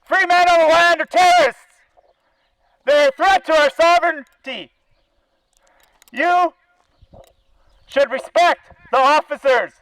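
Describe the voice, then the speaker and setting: Very high-pitched, indignant Canadian man on bullhorn. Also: takes it slowly, long pauses, a bit bright, no echo, poor audio. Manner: indignant, sarcastic, profane, confrontational. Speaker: animal-welfare activist. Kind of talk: angry protest rant through a bullhorn. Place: outdoors by a zoo fence.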